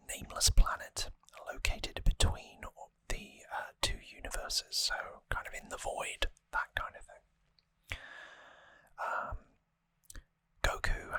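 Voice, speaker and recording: An ASMR host speaks entirely in a breathy whisper, right up close to the microphone. The sound is dry and crisp, with bright, sibilant detail. The delivery is unhurried and informal, with small wry asides. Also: dry recording. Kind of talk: whispered ASMR, rambling trivia recap.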